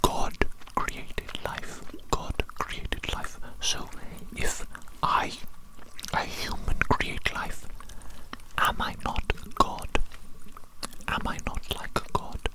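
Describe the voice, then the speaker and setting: A performer whispering an ASMR roleplay as a megalomaniac evil scientist, theatrical and deliberate, often repeating phrases. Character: megalomaniac evil scientist doting on his creation.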